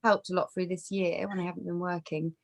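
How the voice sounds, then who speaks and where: A woman's voice: fairly low pitch, level tone, fairly quick. A woman in her thirties, a podcast guest on a webcam video call from her bedroom, talking casually with many restarts and fillers. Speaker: podcast guest, fitness trainer. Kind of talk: casual conversational interview. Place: bedroom with brick wall.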